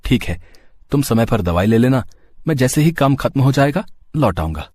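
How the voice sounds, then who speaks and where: A man's voice: mid-range voice, animated, moderate pace, a little mellow, dry recording, lightly acted. A man voicing a humble, caring husband and street sweet seller in a cartoon story, earnest in manner. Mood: reassuring.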